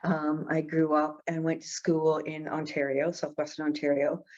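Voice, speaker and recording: Woman executive from Canada, low even voice, businesslike, dull call audio. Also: normal speed. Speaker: pharma executive. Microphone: video call.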